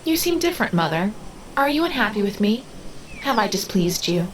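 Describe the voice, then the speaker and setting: A woman acts out an otherworldly female being, childlike and curious. Her mid-pitched voice is inquisitive yet stiffly formal. Faint ambience runs behind the audio drama scene. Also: very animated, fairly quick, a little hiss or hum, close to an everyday voice. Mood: anxious. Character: curious, innocent, childlike powerful female being learning humanity.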